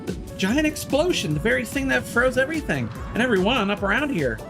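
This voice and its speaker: A man voicing a sassy, dryly animated video game character. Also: very high-pitched, brisk, music in the background, pitched above the performer's own voice, full character voice.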